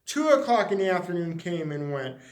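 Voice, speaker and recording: High-voiced man reading a storybook, echoey room. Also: sing-song. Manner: dramatic, with drawn-out emphasis and repeated words. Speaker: story reader. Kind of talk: expressive children's storybook narration.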